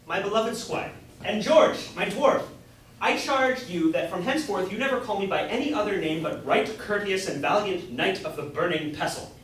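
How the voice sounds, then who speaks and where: A high-pitched, grandiose apprentice knight, acted by a young man, declaims in courtly, high-flown phrases for a stage comedy. The voice rings far from the mic in a very echoey room. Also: moderate pace, fairly bright tone. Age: young adult. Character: grocer's apprentice playing grandiose knight-errant, bombastic and courtly. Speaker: student actor. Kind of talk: staged reading of Jacobean comedy. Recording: distant, very echoey room with faint noise.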